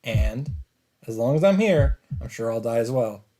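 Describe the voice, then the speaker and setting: A man's voice: mid-range voice, expressive, moderate pace, mellow. A man let's player reading visual novel narration dramatically, with casual commentary of his own. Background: game music.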